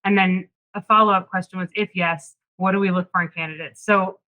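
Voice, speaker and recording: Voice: mid-range voice, steady tone, a little fast, muffled recording. A panel moderator who works in product, chatting in a friendly, conversational way and putting questions to the guests. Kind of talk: hosting a careers panel.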